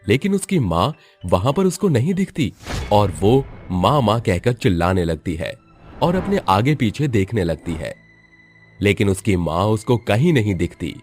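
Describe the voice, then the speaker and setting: A man's voice: on the low side, big pitch swings, moderate pace, a little mellow, soft background music. A man narrating a cartoon horror story in a scripted storytelling manner.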